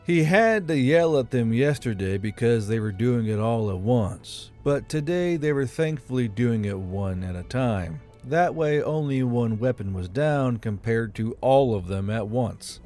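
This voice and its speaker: A man's voice: medium pitch, lively intonation, a little slow, hardly any pauses, dark tone. A man reading military fantasy fiction as a scripted audiobook narration. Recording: music under the voice.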